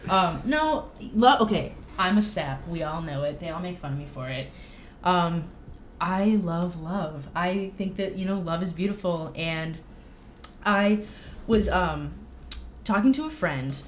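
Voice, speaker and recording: A woman with a low voice talks casually and openly, with an informal, self-deprecating manner. The room rings noticeably around her, with a faint layer of noise underneath. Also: animated, unhurried. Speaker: band drummer, podcast guest. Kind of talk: casual personal chat. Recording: roomy echo with faint noise.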